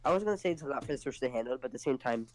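A man's voice: medium pitch, expressive, racing, a crowd in the background. A man talking casually on a podcast over voice chat, blunt but rambling, full of fillers. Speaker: podcast panelist. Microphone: online voice chat.

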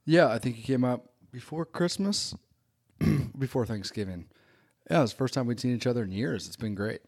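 A man in his forties talking as a guest in a relaxed podcast conversation, with a voice of ordinary middle pitch. He is friendly and self-deprecating, slipping in light jokes about himself. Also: normal speed. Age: 40s. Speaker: interview guest, photographer. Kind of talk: casual conversational interview.

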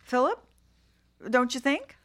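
An actress playing a devoted, ambitious and busy museum assistant in a radio drama, earnest and efficient. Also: female voice, high-pitched, big pitch swings, slow, fairly bright tone, heavily acted. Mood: brisk. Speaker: actor.

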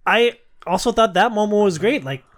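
A man's voice: sits high, expressive, normal speed. A man hosting a casual film discussion, enthusiastic and opinionated in a slangy way. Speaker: podcast host.

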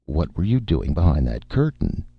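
Man as a resigned studio businessman, very deep, husky. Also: very animated, moderate pace, dry recording, muffled recording, exaggerated voice. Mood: probing. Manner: resigned, matter-of-fact. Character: weary, resigned studio businessman, practical peacemaker.

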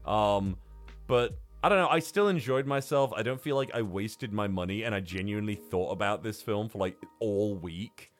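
Casting: male podcast co-host, fairly low voice, loose sweary chat style, light music underneath. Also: normal speed. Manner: sweary, informal. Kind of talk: casual film review chat.

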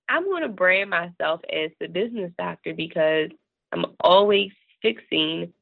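A woman in her thirties, raspy from a cold, chatting easily as a podcast guest and laughing often. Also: lowish voice, dry recording. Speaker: podcast guest, business consultant. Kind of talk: casual interview talk. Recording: tinny phone-line sound.

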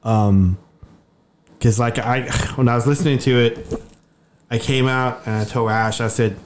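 A man on a paranormal investigation team, talking casually with many pauses and fillers. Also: medium pitch, steady tone, a little hiss or hum. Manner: halting, lots of fillers, conversational. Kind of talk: casual group discussion.

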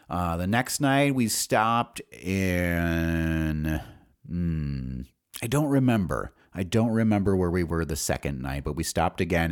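An American man hosting a podcast, telling stories from his own life in a casual, candid, rambling way with some swearing. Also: deep voice. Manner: rambling, candid, profane, thinking aloud. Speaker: podcast host. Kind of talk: casual autobiographical storytelling.

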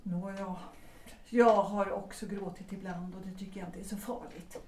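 An actress playing a composed, gently probing married woman in a period audio drama, with measured, deliberate phrasing. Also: medium pitch, steady tone, natural voice. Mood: reassuring. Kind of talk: stage-play audio drama acting. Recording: echoey, distant, noisy room sound.

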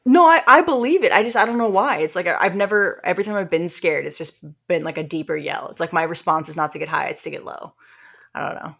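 A woman's voice: on the low side, fast talker, gravelly, fairly bright tone, breathy edge. A woman co-hosting a podcast, chatting casually and acting out reactions as she tells anecdotes. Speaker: podcast co-host. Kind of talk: casual chat.

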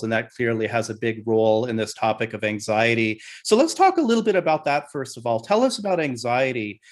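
A man with a middle-pitched voice hosts an interview. He asks his questions in a relaxed, conversational way and probes for detail. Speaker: interview host.

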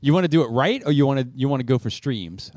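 A man's voice: medium pitch, animated, quick pace, a little mellow, dry recording, clean studio sound. A man co-hosting a casual podcast, joking and swearing freely, often repeating a phrase for effect. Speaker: podcast co-host.

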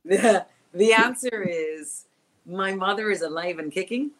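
A woman's voice: medium pitch, a little slow, fairly bright tone, slight background noise, poor audio. A woman sportscaster, a guest on a remote interview, talking in an emphatic, reflective way and repeating her key words. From Barbados. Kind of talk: casual interview chat. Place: remote call from home.

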